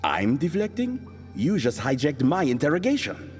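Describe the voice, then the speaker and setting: An actor playing an irritable, world-weary hired killer in an audio drama, dry, sarcastic and menacing. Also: male voice, on the high side, wildly swooping pitch, normal speed, a little mellow, long reverb tail, soft background music.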